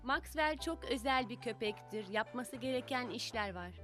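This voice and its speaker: A woman playing a kind, patient grown-up in a preschool cartoon dub, explaining things simply and gently to a child. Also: a little high, expressive, moderate pace, natural voice. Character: kind, patient blind woman with guide dog. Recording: music under the dialogue.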